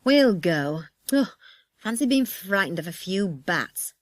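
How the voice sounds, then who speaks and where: A woman's voice: fairly low pitch, sing-song, takes it slowly, darkish tone, no echo, clean studio sound, heavily acted. A woman voicing a sulky, grumbling man on a day trip in a storybook reading.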